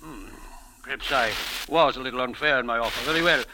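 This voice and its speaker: A male actor playing a fussy, formally polite and evasive gentleman in a 1940s radio drama. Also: medium pitch, fast talker, close to an everyday voice. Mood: stiffly polite. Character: prim, evasive English gentleman buyer, outwardly polite. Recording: noisy old radio recording.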